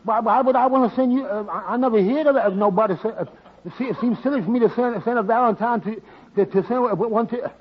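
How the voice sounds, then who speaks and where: A man's voice: extremely high pitch, fast talker. A man acting a timid, dim fellow with a heavy comic stammer in a golden age radio sitcom. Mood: flustered. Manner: heavy comic stammer, repeats and loses words. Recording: muffled vintage radio recording.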